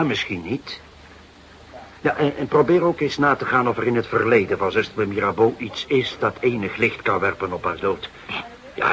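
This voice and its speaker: An actor playing a sceptical, patient older police detective in a radio drama, with a measured, musing delivery. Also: male voice, lowish voice, fairly bright tone, lightly acted. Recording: noisy radio drama recording.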